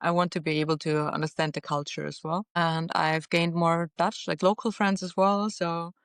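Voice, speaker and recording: Casting: young woman, Austrian German accent, smooth clear tone, close dry sound, thoughtful casual guest. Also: on the low side, fairly even intonation, moderate pace, no room sound. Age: young adult. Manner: reflective, frequent "like" and soft laughs. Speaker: podcast guest. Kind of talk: casual interview chat. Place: apartment on a high floor.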